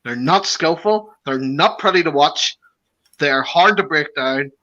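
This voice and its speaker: A man with a Belfast accent speaks in a sharp, bright voice that sits a little high. He chats in a lively way as a podcast guest and laughs as he talks. The sound is dull and lo-fi. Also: unhurried. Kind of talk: casual chat.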